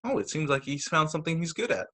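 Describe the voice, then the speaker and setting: A man's voice: a little high, quick pace. A man reading picture books aloud to children as a teacher, expressively, with casual asides about the pictures. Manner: expressive, acts out quoted lines, comments on the pictures. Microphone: video call.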